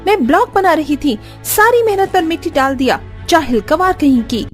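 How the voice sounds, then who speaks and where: A woman's voice: high voice, animated, normal speed, faint music behind. A woman voicing a vain, snappish young woman in a cartoon story, with a scornful, showy manner. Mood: scornful, irritated.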